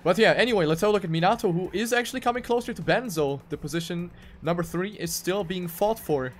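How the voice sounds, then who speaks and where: A man's voice: high voice, fast, faint music behind. A man commentating live on a racing game, calling the action play by play and repeating phrases for emphasis. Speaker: esports race commentator.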